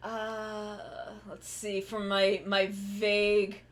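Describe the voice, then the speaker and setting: A woman's voice: mid-range voice, completely flat delivery, drawn-out words. A woman streamer chatting casually while she draws, rambling and correcting herself mid-sentence, with the odd swear. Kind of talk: casual chat while drawing.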